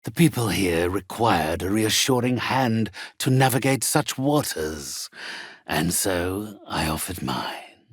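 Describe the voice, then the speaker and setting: A sinister old undead necromancer from a fantasy video game. His husky, gravelly voice moves at a slow, stately pace, often sinking into breathy whispers. He sounds haughty, theatrical and coldly condescending, with wide, swooping intonation. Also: on the low side, expressive, no echo, clean studio sound, heavily acted. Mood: haughty dismissal. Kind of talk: video game character acting.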